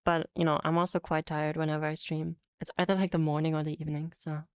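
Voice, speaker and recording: Young polish girl streaming, kinda american kinda british accent, low voice, lots of um and like, starts over a lot. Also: level tone, fast, clean tone, no room sound, clean studio sound. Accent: mix of American and British English. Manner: rambling and self-correcting, frequent fillers and restarts, laughs easily. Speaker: VTuber streamer. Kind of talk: casual livestream chat, reading chat aloud.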